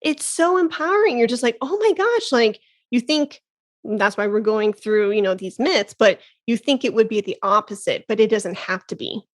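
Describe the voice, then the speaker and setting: An enthusiastic woman in her thirties hosts a casual podcast interview. She talks in a slightly high voice, exclaims often and laughs between thoughts. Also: lively intonation, moderate pace. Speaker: podcast host.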